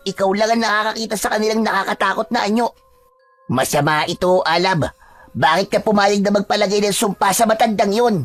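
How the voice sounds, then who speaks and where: A woman's voice: medium pitch, a little fast, soft background music, natural voice. Woman as an earnest, secretive young boy. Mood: alarmed, warning. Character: young boy, secretive, earnest friend who warns of curses. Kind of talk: dramatized story acting.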